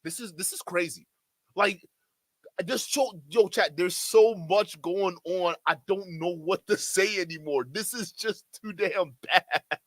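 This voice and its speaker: Incredulous high-voiced male streamer. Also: a little slow, fairly bright tone, dry recording. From Nigeria. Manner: exasperated, incredulous, talks straight to chat. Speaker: streamer reacting to a livestream. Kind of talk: livestream reaction commentary.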